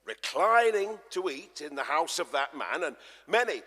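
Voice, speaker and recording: A man narrating scripture dramatically, like a theatrical storyteller, with emphatic swells and hushes. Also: on the high side, very animated, moderate pace. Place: large church, live performance. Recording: echoey church, long reverb.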